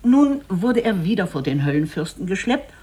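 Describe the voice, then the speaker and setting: Fairy-tale narrator: rough-edged voice, deliberate dramatic reading, very lively intonation. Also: medium pitch. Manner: deliberate, expressive. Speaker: storyteller.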